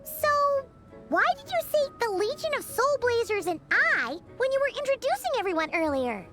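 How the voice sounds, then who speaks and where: A woman voicing a tiny, excitable, inquisitive fairy companion in a squeaky childlike voice for a video game. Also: normal speed, loud music behind the voice. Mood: puzzled.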